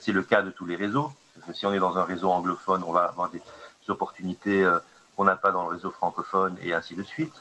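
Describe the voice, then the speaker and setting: Deep-voiced man, hesitant. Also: pushed and bright, slight background noise, rough recording. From Lebanon. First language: Arabic. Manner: hesitant, many fillers and restarts. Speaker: artist, conference guest. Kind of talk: answering questions at a conference discussion.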